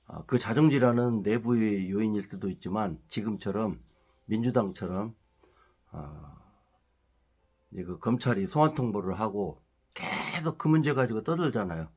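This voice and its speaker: A middle-aged man talks through a head cold, his voice stuffy and nasal. His pitch sits a little low, and he rambles in a casual monologue, firing off rhetorical questions as he heats up. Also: darkish tone, breathy edge. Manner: ranting, rising heated at points with rhetorical questions. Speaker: political commentary channel host.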